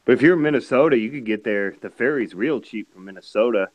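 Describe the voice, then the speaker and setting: A man's voice: medium pitch, animated, quick pace, no room sound. A man chatting casually on a live video call, joking and teasing the others. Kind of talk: casual chat.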